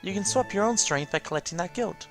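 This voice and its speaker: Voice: medium pitch, lively intonation, fast talker, a bit bright, faint music behind, pitched above the performer's own voice. A person voicing a small, eager spirit familiar from a video game in a chirpy, encouraging way. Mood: cheerful, coaxing. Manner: bubbly, encouraging, coaxing.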